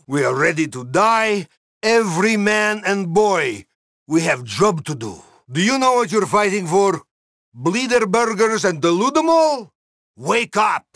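An actor playing a blustering Russian submarine commander in a video game, with a Russian accent, speaking emphatic, repetitive broken English. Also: male voice, high voice, fairly bright tone, no room sound, clean studio sound, full character voice.

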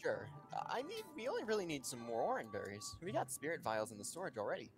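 A woman's voice: sits low, wildly swooping pitch, quick pace. A woman playing a bossy, peppy young female Pokemon guide with a chirpy, instructive manner in a fan comic dub. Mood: cheerful. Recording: music under the voice.

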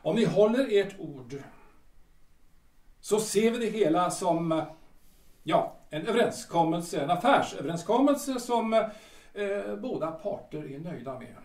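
An actor playing an irritable, domineering café owner in an audio drama, halting when cornered. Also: male voice, high-pitched, expressive, darkish tone. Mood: grudging. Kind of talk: Swedish radio drama dialogue. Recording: echoey room, slightly far from mic.

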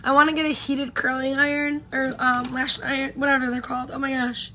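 High-pitched woman doing her makeup at home, voice kinda worn out, chatty, noisy background. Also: little pitch movement, fairly quick, pushed and bright. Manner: chatty, rambling, greets viewers by name. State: losing her voice. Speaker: beauty vlogger. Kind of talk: casual get-ready-with-me live chat. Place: home room with pink neon lights, indoors. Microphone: camera in front at close range.

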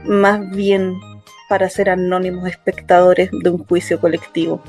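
A woman's voice: lowish voice, level tone, unhurried, darkish tone. A woman playing a young working-class Chilean daughter in a dramatic first-person radio play. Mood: bitter, wounded. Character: young working-class daughter recounting her assault, wounded, bitter. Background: music.

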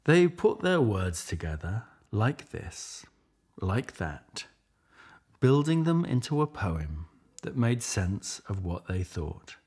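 A middle-aged man reading a picture book aloud with measured, expressive storytelling and a husky voice. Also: lowish voice. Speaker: storybook reader.

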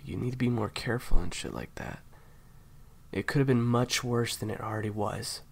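A man acting in an intimate audio roleplay as a caring, awkward handler who speaks softly and haltingly to an injured partner. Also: lowish voice, vocal fry, husky. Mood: concerned, gentle. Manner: hesitant, halting, soft-spoken. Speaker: voice actor.

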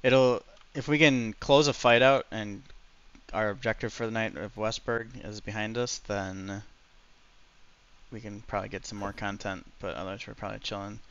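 A man leading his alliance over casual gaming voice chat, giving orders that trail off quietly. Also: lowish voice, steady tone, moderate pace, clear, resonant tone, lo-fi sound. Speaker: alliance war leader.

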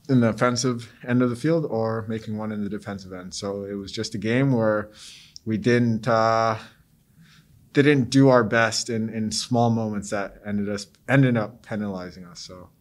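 A young man with a lowish voice gives post-game answers at a press conference. He speaks in a measured, steady way, often pausing on fillers. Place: press room before a sponsor backdrop.

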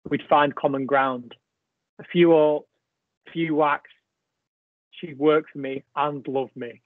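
A man's voice: on the high side, steady tone, slow pace, no echo. An actor playing a bitter, crude old rural man, delivering a blunt, contemptuous, rambling stage monologue. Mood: wistful, menacing. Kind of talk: theatrical monologue in a play reading. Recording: thin phone-like band-limited audio.

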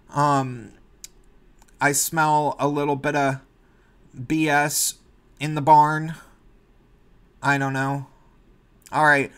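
A man's voice: a little high, fairly bright tone. A man with a nasal voice giving sarcastic, rambling opinion commentary as a vlogger.

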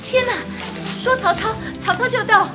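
A woman playing an impatient, easily riled young radio colleague in an expressive radio-drama style. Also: very high-pitched, moderate pace, music in the background. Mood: indignant.

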